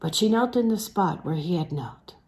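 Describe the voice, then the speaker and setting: A woman reading a literary novel aloud in a measured, phrase-by-phrase way, letting phrase endings fall soft and breathy. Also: lowish voice, expressive. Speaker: audiobook reader.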